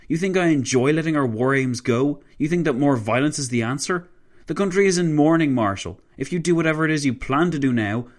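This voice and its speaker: A man performs an outraged French statesman in a historical story. His voice is pushed high as he barks and shrieks accusations with indignant passion. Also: close to an everyday voice. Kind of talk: dramatised historical narration.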